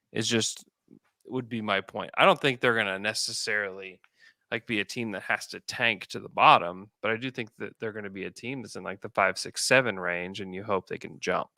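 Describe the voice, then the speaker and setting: A man's voice: fairly low pitch, creaky, bright, forceful tone, no echo. A man hosting a sports podcast, discussing basketball in a casual, conversational way.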